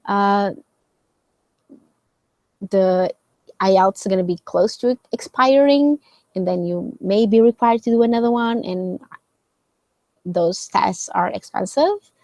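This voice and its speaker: A woman's voice: medium pitch, slow, pauses often, a little mellow, no room sound. Woman explaining in a dry, close recording. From Portugal. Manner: conversational, explains with many fillers and tag questions. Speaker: host answering viewer questions. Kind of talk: casual live Q&A.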